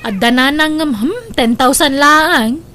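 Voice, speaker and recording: A woman's voice: high-pitched, slow, bright, forceful tone, slight background noise, full character voice. A woman playing a discontented, worried young wife in an audio drama, with an Ilocano Filipino accent. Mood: sulky, bitter.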